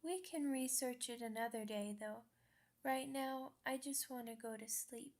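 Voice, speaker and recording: A woman's voice: on the high side, measured pace, darkish tone. A woman voicing a sad, earnest young female werewolf pup in an ASMR roleplay, with hesitant, childlike phrasing. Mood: sleepy, hopeful.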